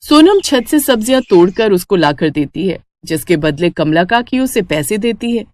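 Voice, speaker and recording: A woman's voice: mid-range voice, animated, moderate pace, a little mellow, no echo. A woman reading scripted storybook narration for a cartoon moral tale.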